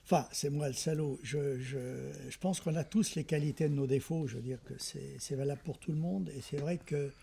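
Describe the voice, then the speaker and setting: A man's voice: a little high, moderate pace, gravelly, few pauses, dark tone, slightly rough. A man, a film director in a casual interview, talking reflectively and hesitantly, repeating words as he searches for them.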